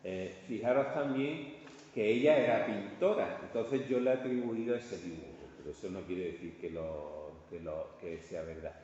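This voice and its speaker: A man with an accent from Spain gives an informal art history lecture, halting and conversational, often checking in with his listeners. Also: low-pitched, a little mellow. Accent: Spanish from Spain. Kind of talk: informal illustrated lecture to an audience. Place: large reverberant indoor room. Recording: echoey hall, distant muffled sound, faint noise.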